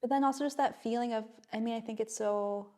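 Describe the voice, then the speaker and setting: A soft-voiced woman, a playwright guest on a podcast, talking in a hesitant, reflective way with many fillers and restarts. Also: fairly high pitch, steady tone, quick pace. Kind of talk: casual podcast conversation.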